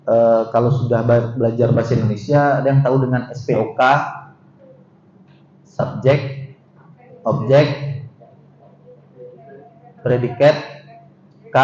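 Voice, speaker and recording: A man's voice: mid-range voice, darkish tone. A middle-aged man with a Minangkabau accent giving a seminar lecture, talking conversationally and putting questions to the audience. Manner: conversational, asks the audience questions, frequent filler "eh" and "gitu". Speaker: university vice rector giving a seminar talk. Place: seminar room. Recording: dull roomy hall recording with faint noise.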